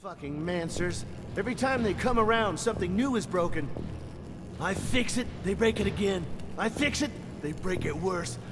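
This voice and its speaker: A man's voice: high voice, expressive, moderate pace. An actor playing a foul-mouthed, exasperated repairman in a video game, griping bitterly. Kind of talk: scripted video game dialogue. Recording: reverberant space, clear background noise.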